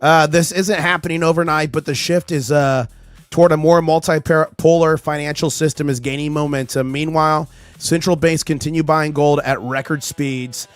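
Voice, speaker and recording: A man's voice: on the high side, no room sound. Chatty man hosting a live market stream. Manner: chatty, reads chat aloud, excitable. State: recovering from lost voice. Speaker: livestream host. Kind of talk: casual live market commentary.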